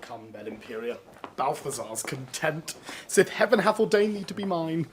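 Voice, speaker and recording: An ardent young prince, gallant and courtly in a radio verse drama. His pitch moves widely, with some noise behind. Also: very animated, normal speed. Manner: gallant, formal.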